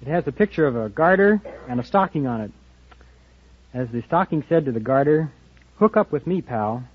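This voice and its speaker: A man's voice: a little high, lively intonation, normal speed, breathy edge, dull audio. A man answering a quiz host as a contestant on an old radio recording. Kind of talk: answering a host's questions.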